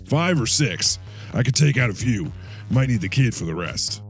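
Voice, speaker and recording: An actor playing a gruff, blunt older war veteran in an audio drama. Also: male voice, mid-range voice, expressive, normal speed, faint music behind, natural voice. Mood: brisk, alert. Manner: blunt, swearing, colloquial.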